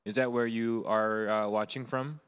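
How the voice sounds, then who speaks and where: A man's voice: mid-range voice, steady tone, moderate pace, fairly bright tone, clear, resonant tone. A man co-hosting a casual live stream, talking loosely with many fillers and reading viewer comments aloud. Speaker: co-host and co-producer.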